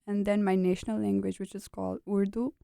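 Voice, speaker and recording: A woman's voice: medium pitch, little pitch movement, brisk, gravelly, dark tone, no echo, clean studio sound. A young woman in her twenties from Pakistan with a Pakistani accent, whose first language is a regional tongue, answering interview questions earnestly in short plain sentences and laughing often. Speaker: graduate student guest. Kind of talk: casual interview chat.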